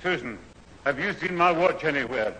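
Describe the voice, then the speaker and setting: Grumpy, high-voiced old grandad. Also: male voice, expressive, normal speed, bright, forceful tone. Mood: exasperated, grumpy. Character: grumpy, exasperated old grandfather, former merchant seaman. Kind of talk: 1970s radio sitcom acting. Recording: old hissy radio broadcast, roomy hall.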